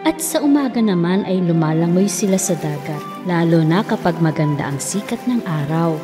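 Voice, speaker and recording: A woman's voice: fairly low pitch, animated, normal speed. A woman narrating a children's fairy tale as a storyteller, in a scripted style. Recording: long reverb, music behind.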